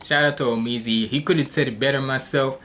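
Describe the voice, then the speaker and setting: A man's voice: mid-range voice, fairly even intonation, fast talker, a bit bright, a little hiss or hum. Rambling man from Jamaica reacting casually. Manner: rambling, many restarts, casual slang. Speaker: reaction video host.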